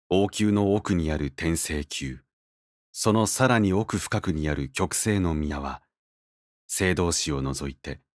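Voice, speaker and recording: Deep brooding narrator for a fantasy audio drama, serious inner monologue, restrained, dry close sound. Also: male voice, no echo. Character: grave, brooding male narrator in a fantasy tale. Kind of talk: scripted first-person narration.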